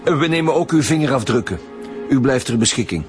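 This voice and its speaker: A sceptical, middle-aged Flemish police chief, played by a male actor in a radio crime drama. He speaks bluntly and with authority at an average male pitch. Music is clearly audible behind him. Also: lively intonation, a little fast, lightly acted. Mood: firm, official. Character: stern, sceptical chief homicide detective leading interrogations.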